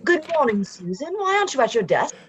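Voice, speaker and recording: A woman acting a stern, indignant schoolteacher in a radio play, scolding with sharp questions, over dull lo-fi audio. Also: sits high, sing-song, fast. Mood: brisk. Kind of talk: live radio drama script reading.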